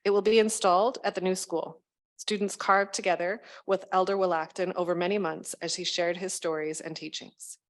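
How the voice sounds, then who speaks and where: A woman's voice: lowish voice, fairly even intonation, normal speed. A woman reads out community news as a school trustee. She follows a script with formal delivery. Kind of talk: scripted news roundup reading.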